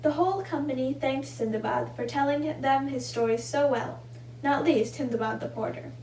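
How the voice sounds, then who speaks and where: A woman's voice: high voice, normal speed, darkish tone, a little hiss or hum, low-quality recording. A young woman reading a children's story and giving simple craft instructions. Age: young adult. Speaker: volunteer storybook reader and craft demonstrator.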